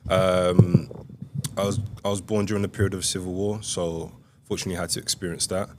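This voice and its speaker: Deep-voiced man telling his life story. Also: level tone, brisk, very noisy. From Republic of Congo. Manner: soft-spoken, hesitant, many ums. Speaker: podcast guest. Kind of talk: reflective interview storytelling.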